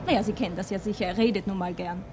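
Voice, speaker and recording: A woman's voice: medium pitch, steady tone, very fast, close to an everyday voice. An actress playing a conversational, confiding woman in a 1970s German radio crime drama. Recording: clear vehicle noise behind voice. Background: vehicle noise.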